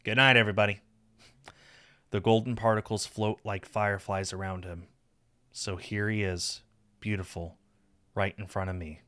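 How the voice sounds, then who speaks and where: A man with a fairly low voice narrates a romance visual novel for a stream. He reads the scripted text expressively, as first-person storytelling. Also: fairly bright tone. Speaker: streamer reading a game aloud.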